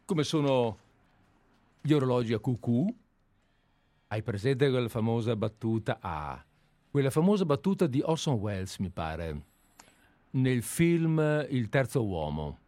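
A man hosting live radio from a studio, talking in an easy, rambling way and drifting into anecdotes. His voice is middle-pitched, and the sound is close and dry. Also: sing-song, unhurried, long pauses, dark tone, no room sound. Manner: chatty, digressive storyteller. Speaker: radio host.

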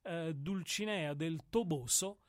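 A man chatting casually as a radio studio guest, halting and repeating his words. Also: a little high, dry recording.

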